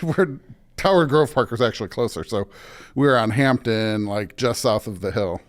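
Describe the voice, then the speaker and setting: A man's voice: medium pitch, moderate pace. A middle-aged man with a Midwestern American accent, talking casually and anecdotally as an interview guest, often dropping in "like". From suburban Illinois, United States. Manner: chatty, anecdotal, frequently says "like".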